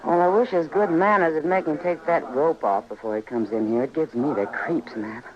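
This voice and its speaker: A woman's voice: low voice, moderate pace, close to an everyday voice. Actress as Old West saloon woman, American, dry, matter-of-fact, world-weary, dull vintage radio recording. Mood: uneasy, resigned. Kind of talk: old-time radio Western acting.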